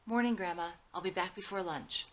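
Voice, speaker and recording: A woman's voice: fairly low pitch, a little fast, a bit bright, voice pushed up, close to an everyday voice. A woman voicing a determined, affectionate seventeen-year-old girl in a screenplay read-through. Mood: breezy.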